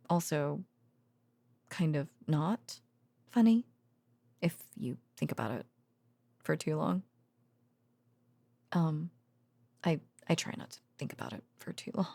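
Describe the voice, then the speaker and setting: A woman voicing a tender, weary researcher girlfriend in an intimate ASMR audio roleplay, speaking softly and hesitantly, partly in whispers, close to the microphone. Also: sits low, no echo, full character voice. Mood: wry, uneasy. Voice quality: soft, breathy, partly whispered. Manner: hesitant, drowsy, musing, with sighs and soft chuckles.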